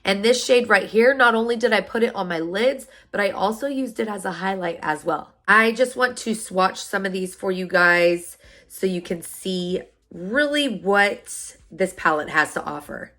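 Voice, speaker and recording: Hoarse, stuffy-voiced woman chatting to viewers. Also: medium pitch, animated, normal speed, a bit bright. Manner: upbeat, chatty, addresses viewers directly. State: hoarse and stuffy from a sinus infection. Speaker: beauty vlogger. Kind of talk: product unboxing review.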